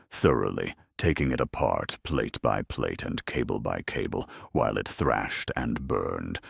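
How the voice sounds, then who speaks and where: An extremely low-voiced man delivers dramatic scripted narration of a grim battle tale. His voice is thick with gravel and creak, and he takes his time, pausing heavily between phrases in a dry, close recording. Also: no echo. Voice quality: very deep gravelly growl. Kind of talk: dramatic scripted narration of a grimdark war story.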